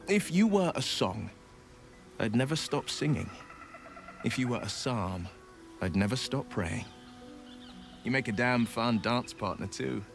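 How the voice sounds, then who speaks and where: An actor playing a gallant, romantic young swordsman in a video game, courtly, poetic and earnest in manner. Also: mid-range voice. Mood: adoring, playful. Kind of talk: dramatic video game voice acting.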